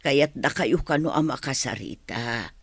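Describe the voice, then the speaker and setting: An actress playing a worried, sorrowful old mother in an Ilocano radio drama, with a plaintive, husky delivery. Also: rumbling low, a little slow, no echo, clean studio sound, full character voice.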